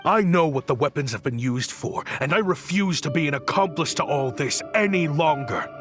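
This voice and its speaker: An actor playing a remorseful, principled weapons inventor in a dramatic video game, weary and troubled, speaking in a grave, earnest, emotional way. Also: male voice, a little high, lively intonation, moderate pace, over music, close to an everyday voice. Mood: resolute.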